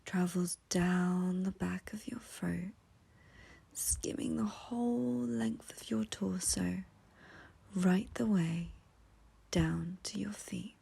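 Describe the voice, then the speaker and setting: A woman leading a guided meditation in a soft, breathy, whispery voice, gentle and soothing, with long pauses. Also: fairly low pitch.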